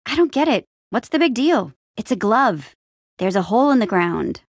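A plucky young adventure-game heroine with a fairly high, very lively voice. She talks in an eager, casual, youthful way with a wry edge, and the sound is close and dry. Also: moderate pace, no echo. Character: plucky, upbeat young female adventurer hero. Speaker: video game voice actor. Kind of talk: animated adventure game dialogue.

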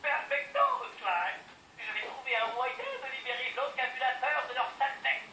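A nerdy young turtle genius in a video game, talking in a high, precise, earnest voice. The sound is roomy and distant, picked up from a television amid hiss and ambience. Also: male voice, big pitch swings, normal speed, sharp tone, full character voice. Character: brainy young male turtle, the team's tech expert.

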